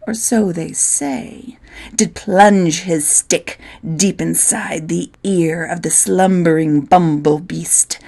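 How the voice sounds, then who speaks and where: Slow-paced woman reading rhyming storybook verse. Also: on the low side, creaky, darkish tone. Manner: measured, playful, theatrical delivery. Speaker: poetry reader.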